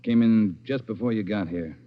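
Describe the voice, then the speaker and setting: An actor playing a demanding, curt chief of detectives in an old radio crime drama. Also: male voice, on the low side, normal speed, close to an everyday voice. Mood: grim, businesslike. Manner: curt, commanding. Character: demanding, gruff male police chief of detectives. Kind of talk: 1940s-50s radio crime drama acting. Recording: dull, faintly noisy vintage radio recording.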